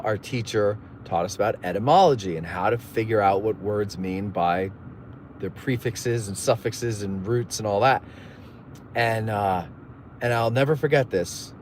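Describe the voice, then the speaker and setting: A man's voice: fairly low pitch, measured pace, slight background noise. A man hosting a casual live stream, rambling through stories and jokey asides while reading viewer messages. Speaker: livestream host. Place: in a moving car.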